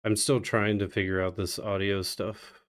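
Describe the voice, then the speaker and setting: A man's voice: on the low side, level tone, moderate pace. A man giving casual live commentary as he speedruns a video game on a livestream.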